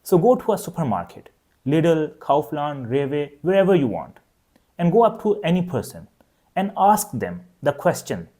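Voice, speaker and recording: Man, native Urdu speaker, young adult, online language teacher, clear steady explaining, slightly high voice, very lively intonation. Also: normal speed. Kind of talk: scripted online lesson.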